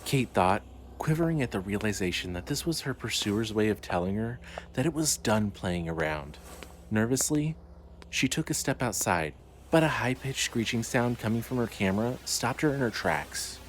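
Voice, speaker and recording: Guy reading a horror story as narrator, kinda deep voice, faint background noise. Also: animated, moderate pace.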